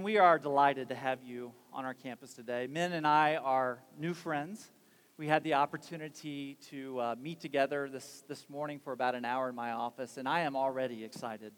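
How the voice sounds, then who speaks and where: A man's voice: mid-range voice, a bit bright. Formal man hosting a campus assembly. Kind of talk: formal introduction and interview.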